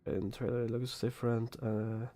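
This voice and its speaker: A man livestreaming a video game, giving casual, halting commentary and replying to chat, with some noise behind him. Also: mid-range voice, level tone, dark tone.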